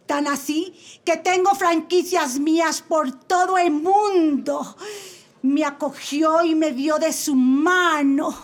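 Woman as sassy Mexican showgirl, very high voice, slow suggestive delivery, radio comedy. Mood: pleading. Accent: Mexican Spanish. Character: brash adult woman showgirl defendant. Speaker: defendant in a comic court sketch. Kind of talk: comic radio sketch acting.

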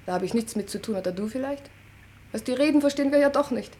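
A woman's voice: sits high, fast talker, darkish tone, close to an everyday voice. An actress playing a brash, dreamy, impatient woman in a radio play, blunt and colloquial.

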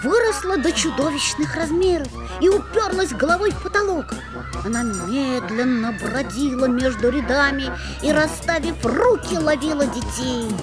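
A woman's voice: high voice, moderate pace, over music, lightly acted. A woman voicing a curious young schoolboy who narrates a musical fairy-tale audio play in an earnest, storytelling way.

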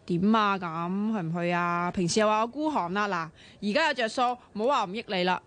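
An actress playing a sharp-tongued, bossy, excitable young woman in a radio drama, chatty and expressive, with a Hong Kong Cantonese accent. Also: mid-range voice, fairly quick, lightly acted. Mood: persuasive, cheeky.

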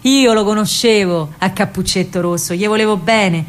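A woman's voice: medium pitch, moderate pace. A woman with a Roman accent narrating a radio play in the first person, in colloquial, theatrical storytelling. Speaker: actress narrator.

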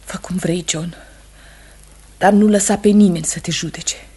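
A grieving but steadfast wife in a radio play. Her voice is husky and breathy with a creaky edge, solemn and intense. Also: lowish voice, fairly even intonation, mellow. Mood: pleading. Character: sorrowful, steadfast wife of a condemned man. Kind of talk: Romanian radio theatre acting.

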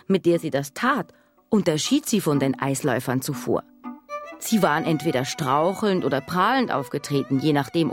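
An actress playing a grown woman who recalls her girlhood in measured, literary radio drama narration. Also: sits low. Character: adult female narrator recalling her girlhood, composed storyteller. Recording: studio radio drama with music.

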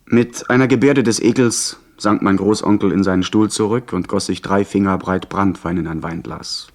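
A proud young adventurer tells his tale in a radio play. His voice is fairly low, with expressive, literary phrasing. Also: moderate pace. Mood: earnest narrating. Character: proud, defiant young man, narrating his sea adventure. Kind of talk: radio play narration and acting.

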